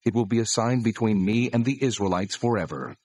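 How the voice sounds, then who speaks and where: Deep, husky, solemn God. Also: male voice, darkish tone, no room sound. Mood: solemn, commanding. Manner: solemn, deliberate, authoritative. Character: God, solemn male deity giving commands. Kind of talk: dramatized scripture reading.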